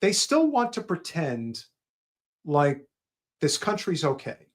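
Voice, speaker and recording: Co-host, male, deep-voiced but sitting a bit high, chatty, restarts mid-sentence, speaks to the chat. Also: wildly swooping pitch, slow pace. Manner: chatty, many restarts and asides to viewers. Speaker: co-host of a political livestream. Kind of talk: casual political chat.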